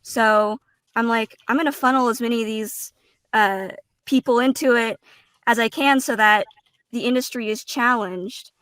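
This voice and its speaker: Clear, higher-voiced woman speaking deliberately. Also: a bit bright, dry recording. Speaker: voice actor, panel guest. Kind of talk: casual roundtable discussion.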